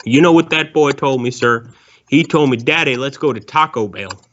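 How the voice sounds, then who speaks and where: A man doing a rambling Southern ex-convict with a thick folksy drawl, sir-ing every other breath. His voice sits at an ordinary middle pitch and comes through dull and lo-fi, like a phone call. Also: moderate pace, fairly bright tone, close to an everyday voice. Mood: indignant. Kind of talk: prank call.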